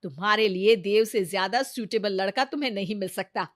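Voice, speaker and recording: Actress as nagging traditional storybook mother, middle pitch, persuasive scolding, dry recording. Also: fairly quick, no echo, natural voice. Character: insistent, traditional middle-aged mother nagging about marriage.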